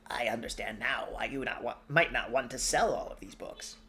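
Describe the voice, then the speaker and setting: A man's voice: mid-range voice, moderate pace, gravelly, sharp tone. A man voicing a curious fire demon with a small, crackling voice. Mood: casual.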